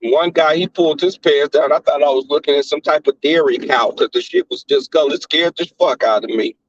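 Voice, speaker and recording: A man with a Southern accent hosting a live stream in casual chat, crass and flamboyant, often leaping into high squeals. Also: very animated, brisk, no room sound. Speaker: livestream host. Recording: dull lo-fi stream audio.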